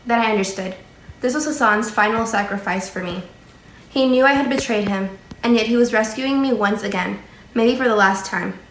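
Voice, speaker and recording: A woman's voice: fairly high pitch, normal speed. A woman reading scripted dramatic narration for readers' theatre. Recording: distant, echoey, faintly noisy room.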